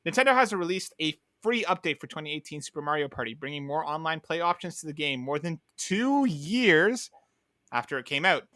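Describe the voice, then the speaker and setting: A man's voice: fairly high pitch, expressive, brisk, sharp tone, no echo. A man hosting a casual gaming podcast, chatting in a conversational, opinionated way. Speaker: podcast host.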